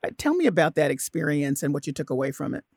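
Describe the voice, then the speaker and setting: A woman hosting a radio interview, with a creaky edge to her voice. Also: low voice, sing-song, quick pace, darkish tone, no echo. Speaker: radio host.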